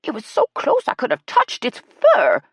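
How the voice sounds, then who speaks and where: Audiobook character, woman narrator acting: bossy, high-strung woman, raised swooping voice, snappy and emphatic. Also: huge pitch swings, normal speed, husky, no room sound, studio quality, heavily acted. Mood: frightened, excited. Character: bossy, excitable woman scolding her friends.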